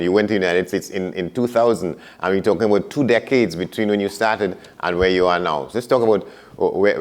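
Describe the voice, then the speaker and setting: A man hosting an interview, asking long questions with many stammered repetitions. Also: low voice. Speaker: interview host.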